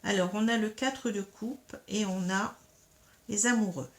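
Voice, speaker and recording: A woman's voice: medium pitch, unhurried. A woman who has a cold, reading tarot cards in a casual, hesitant way, full of fillers and tag questions. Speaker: tarot reader.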